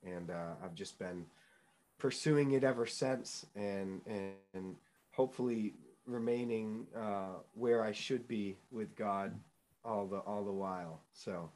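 Earnest young man, lower voice. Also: unhurried, a little mellow, low-quality recording. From New England, United States. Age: young adult. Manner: earnest storyteller, many fillers and restarts. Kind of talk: casual interview chat.

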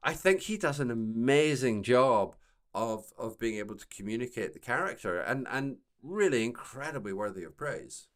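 Male podcast co-host, mid-pitched, conversational critic, stop-start phrasing with emphatic repeats. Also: sing-song, normal speed. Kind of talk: casual discussion and critique.

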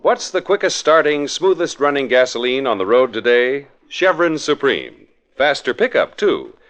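An American man announcing a sponsor's advert in 1940s radio style with brisk salesman's patter, on a vintage radio recording. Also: mid-range voice, sing-song, fairly bright tone, faint music behind.

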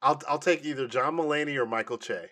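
A man co-hosting a podcast in casual chat, informal and often halting as he thinks aloud. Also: fairly high pitch, crowd noise. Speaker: podcast co-host. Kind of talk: casual chat, pitching comic movie ideas.

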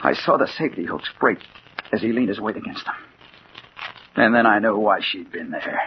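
A man's voice: on the low side, expressive, normal speed. Intense, obsessed man, old radio. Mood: grim, haunted. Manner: intense, urgent, dramatic. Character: obsessed, agitated man named Storm hunting a mysterious woman. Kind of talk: 1940s radio mystery acting, first-person narration and dialogue. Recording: dull, noisy 1940s radio recording.

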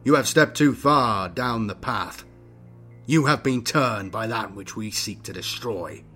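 Man playing stern, forceful young inquisitor. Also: lowish voice, unhurried, soft background music. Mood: accusing. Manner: firm, forceful, declamatory. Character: young male inquisitor, righteous, stern and accusing.

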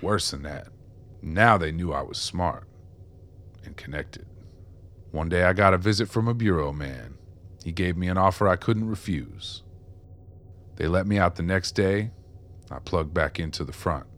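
A man's voice: sits low, unhurried, long pauses, a bit bright. An actor playing a remorseful, ragged old ex-con in a radio drama, world-weary and streetwise as he confesses. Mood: grim confession. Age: older.

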